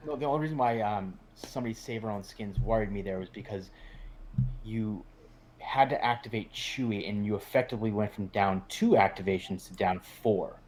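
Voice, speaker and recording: Low-pitched rambling male commentator. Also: brisk, darkish tone, rough recording. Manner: rambling, many restarts. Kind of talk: post-game discussion.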